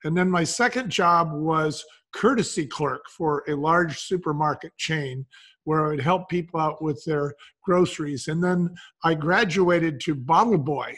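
Higher-pitched man sharing anecdotes. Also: unhurried, fairly bright tone, husky. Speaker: podcast guest, entrepreneur. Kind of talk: conversational anecdotes.

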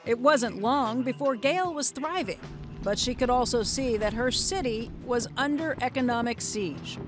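A woman's voice: fairly high pitch, unhurried, over music. An American woman reading scripted documentary narration.

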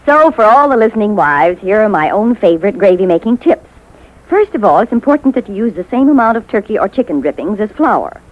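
Female 1950s American radio spokeswoman, medium pitch, instructive, scripted sponsor delivery. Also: very animated, a little fast, low-quality recording. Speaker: home economist, sponsor spokeswoman.

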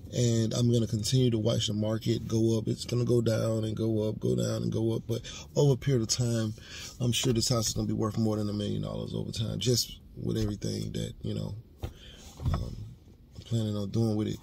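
A man's voice: lowish voice, vocal fry, a little mellow, a little hiss or hum. A man in his thirties in a parked car, talking casually to a dashboard camera and thinking aloud with fillers and restarts. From United States. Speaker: vlogger. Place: parked car, seated inside. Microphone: camera on dashboard, facing him.

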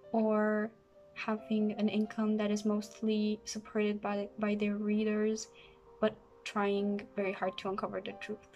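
A woman's voice: mid-range voice, darkish tone. A young woman from Romania speaking earnestly into a webcam indoors. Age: young adult. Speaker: interviewee. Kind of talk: prepared video statement. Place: room indoors.

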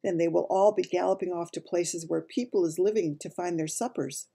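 A woman voicing a gentle, runty male giant from a children's storybook, talking in muddled grammar and made-up words. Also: lowish voice, brisk, vocal fry, breathy edge. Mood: matter-of-fact, eager. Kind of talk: dramatised children's storybook reading.

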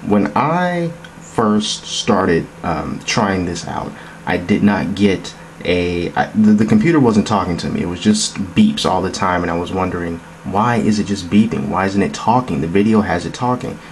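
A man with a low-pitched voice walks through an app demo. He talks informally and explains each step conversationally. The recording is indoors with a light layer of background noise. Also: animated, moderate pace, rough recording. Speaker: app demonstrator. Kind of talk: casual tech demo narration. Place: indoors, phone demo on a wooden table.

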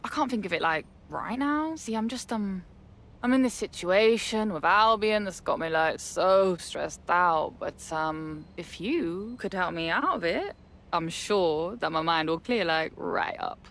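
An actress playing a cheeky, sly smuggler in a video game, with a drawling, sardonic, teasing manner. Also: female voice, on the low side, animated, unhurried, a bit bright. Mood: feigned vagueness. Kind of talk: video game cutscene acting.

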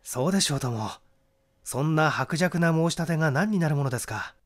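A man's voice: a little high, expressive, a little fast. A man voicing a firm, sceptical man who politely but assertively argues a suspect's guilt in an audiobook mystery story.

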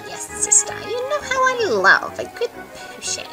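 A woman voicing a sly sorceress villain with a low drawl in a toy play story. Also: huge pitch swings, normal speed, over music, full character voice.

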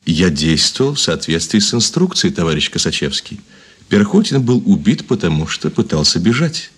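Husky, guarded suspect, low male voice. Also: sing-song, moderate pace, a bit bright, heavily acted. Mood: wary, defensive. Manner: terse, reluctant, formally deferential. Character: male officer under suspicion, guarded, evasive, defiant. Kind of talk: radio play acting.

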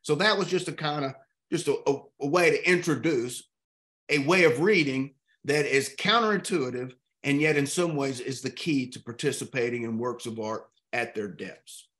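A man in his fifties from East Texas leading a literature discussion over a video call, expressive and thoughtful, stretching out key words. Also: mid-range voice, normal speed. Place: home room with art on walls.

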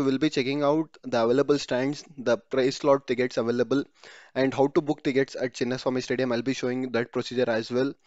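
A man's voice: medium pitch, darkish tone, no room sound. A man narrating a screen-recorded tutorial in a conversational way, often repeating words and correcting himself mid-word. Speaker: YouTube tutorial host. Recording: dry, slightly dull home voice-over.

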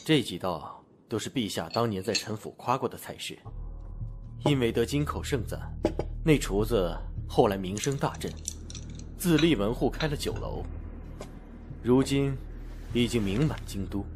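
An actor playing a weary, guarded general-prince of ancient China, speaking formal, measured court language in a period audio drama. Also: male voice, medium pitch, expressive, music in the background, natural voice. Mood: somber.

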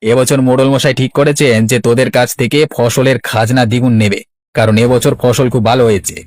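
A man's voice: medium pitch, fairly even intonation, brisk, darkish tone, no echo, studio quality, natural voice. A man voicing a sneering, bossy village headman's assistant in a cartoon, with a taunting manner. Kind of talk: Bengali cartoon villain dialogue.

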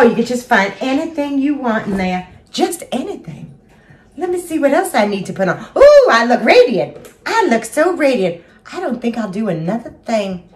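A woman's voice: on the high side, a little hiss or hum, close to an everyday voice. A woman doing a gushing superfan housewife. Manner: gushing, chatty, talks to herself while doing makeup. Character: giddy Southern housewife and mother of seven, superfan, chatty. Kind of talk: comic character monologue.

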